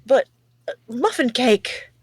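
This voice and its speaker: A woman's voice: on the high side, expressive, no echo, heavily acted. A woman playing a smarmy vain prince. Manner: smooth, flirtatious, slow drawl.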